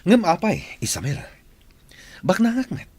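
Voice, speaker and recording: Hot-tempered spurned suitor, very husky, wildly varied intonation, radio drama. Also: male voice, high voice, huge pitch swings, measured pace, darkish tone, heavily acted. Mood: pleading, lovesick. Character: rich, jilted, jealous male suitor and hot-tempered boss. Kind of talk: Ilocano radio drama acting.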